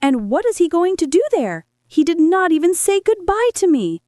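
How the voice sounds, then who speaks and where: A woman's voice: very high-pitched, sing-song, moderate pace, mellow, dry recording, clean studio sound, pitched above the performer's own voice. A woman voicing a worried, simple father. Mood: uneasy. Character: worried, simple-hearted father, adult man.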